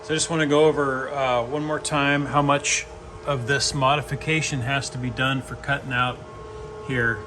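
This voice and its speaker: A man's voice: mid-range voice, little pitch movement, moderate pace, sharp tone, slight background noise. A man giving a casual, hands-on install walkthrough, explaining it informally step by step. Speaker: DIY video presenter.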